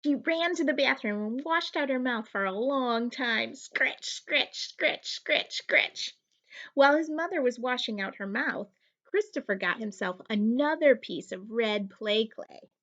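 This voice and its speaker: A woman with a high, bright voice reads a picture book to young children. She takes her time and swings her pitch widely, playing with every sound word. The recording is slightly dull. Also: measured pace. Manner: expressive, playful sound-effect words. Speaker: first grade teacher reading aloud. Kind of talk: animated children's picture book read-aloud.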